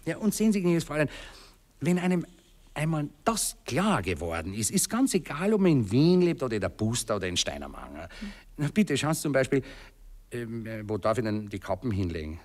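Hesitant Viennese count, swooping intonation. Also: male voice, medium pitch, sing-song, a little fast. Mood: musing, rambling. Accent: Viennese Austrian. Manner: stammering, polite, rambling. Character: courtly, hesitant, aristocratic count and cavalry officer, philosophising. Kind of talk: 1960s German-language radio drama acting.

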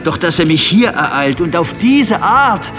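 An actor playing a bewildered, grumpy old patient in a radio play, hesitant and plaintive. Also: male voice, high voice, sing-song, measured pace, over loud music. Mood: gloomy. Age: older. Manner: hesitant, stammering, indignant, plaintive. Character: bewildered, grumpy old male psychiatric-clinic patient dragged onstage. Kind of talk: radio drama acting.

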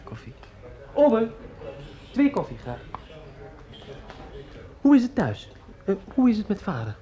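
A man's voice: high-pitched, huge pitch swings, a little slow, heavily acted. A young man acting in a radio play as a student son, dryly ironic and formally polite to his mother. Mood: attentive. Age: young adult. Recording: dull, muffled radio recording.

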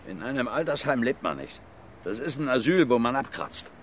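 An actor playing a surly, insolent crime suspect in a radio drama, irritated and defiant. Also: male voice, medium pitch, very animated, normal speed, a little hiss or hum, lightly acted. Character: surly, defiant male suspect, rough working-class son.

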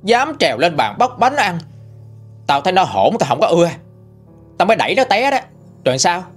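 A man's voice: sits high, very animated, a little fast, slightly rough, faint music behind, voice pushed up, full character voice. A man voicing a cruel, scolding older mother-in-law with a harsh, taunting way of talking. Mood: furious taunting.

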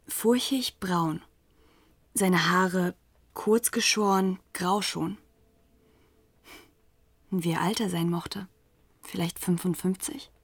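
A woman's voice: fairly low pitch, slightly rough, no echo, full character voice. An actress playing a woman who recalls her cruel youth gang in radio drama narration, in terse fragments.

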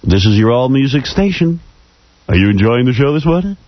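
A male radio host with a deep voice, trading teasing, mock-bossy banter. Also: sing-song, fairly quick, no room sound. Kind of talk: joking talk radio banter. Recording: dull lo-fi radio recording.

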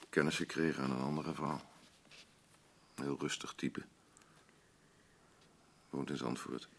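An actor playing a bitter, defensive, grieving middle-aged husband in a naturalistic radio play, blunt and grumbling, with a gravelly voice. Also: male voice, extremely low pitch, exaggerated voice. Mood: evasive, subdued. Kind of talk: naturalistic radio drama acting.

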